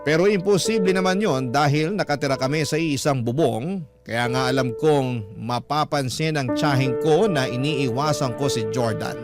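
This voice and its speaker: A man's voice: medium pitch, normal speed, loud music behind the voice. A man with a Filipino accent reading a first-person story as a radio storyteller, in dramatic scripted narration.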